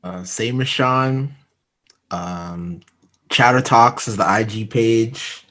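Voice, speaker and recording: A man's voice: lowish voice, slow, sharp tone. A man bantering casually and slangily as a panelist on a podcast.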